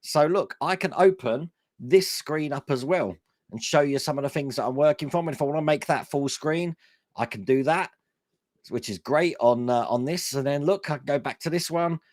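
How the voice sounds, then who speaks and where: A man hosting a casual live stream, his voice hoarse and worn, chatting enthusiastically with lots of fillers. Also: a little high, moderate pace, darkish tone, no echo, studio quality. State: hoarse, voice going.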